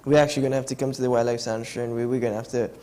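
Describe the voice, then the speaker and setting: A man's voice: medium pitch, little pitch movement, rapid-fire, warm tone. A man hosting a morning TV show, earnest and often restarting his sentences in a casual studio chat. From Trinidad and Tobago. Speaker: TV show host.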